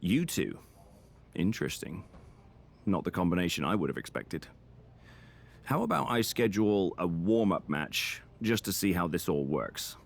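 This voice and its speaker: A man playing a calm, businesslike wrestling show manager in a video game cutscene. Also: low-pitched, normal speed, gravelly, pauses often, full character voice. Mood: measured. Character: measured, cautious male wrestling show manager.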